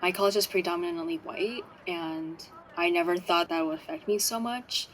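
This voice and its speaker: Young woman in an interview, thoughtful and measured, lowish voice, faint hiss. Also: fairly bright tone, low-quality recording. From China and New York. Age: young adult. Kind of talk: documentary interview.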